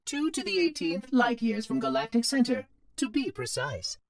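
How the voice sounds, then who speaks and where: A man's voice: very high voice, sing-song, normal speed, dry recording, clean studio sound, exaggerated voice. A man voicing a fussy, chatty, polite robot caretaker in a video game, with prim, precise diction. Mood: prim, matter-of-fact. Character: fussy, chatty, polite floating robot monitor of an ancient installation. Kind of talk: video game cutscene voice acting.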